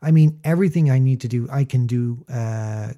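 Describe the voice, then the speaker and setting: A man's voice: mid-range voice, normal speed, mellow. A man hosting a casual explainer monologue about his own experience, conversational and emphatic with wry asides. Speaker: host, small business owner.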